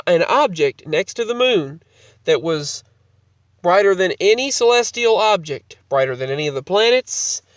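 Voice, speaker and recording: A man's voice: a little high, no echo, far from the mic. Congested man rambling on a vlog. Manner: rambling, repeats and restarts phrases. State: congested with a cold. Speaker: vlogger. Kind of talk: casual vlog monologue.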